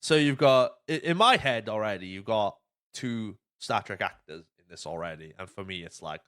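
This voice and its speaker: A man hosting a casual film podcast, retelling plots in an animated, sweary way. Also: on the low side, normal speed, smooth. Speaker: podcast host.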